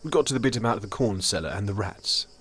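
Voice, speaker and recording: A man playing an obliging, soothing gentleman companion in an audio drama. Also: lowish voice, animated, fast, breathy edge.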